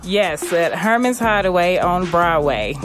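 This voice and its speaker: Woman, comedian guest, deep strong voice, blunt joking talk, music underneath. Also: lively intonation, measured pace. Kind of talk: casual studio chat. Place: studio.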